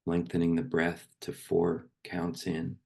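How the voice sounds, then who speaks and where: Man, extremely low pitch, husky, meditation guide, unhurried phrasing, long pauses. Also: completely flat delivery, a little mellow. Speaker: meditation guide and poetry reader. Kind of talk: guided meditation with poetry reading.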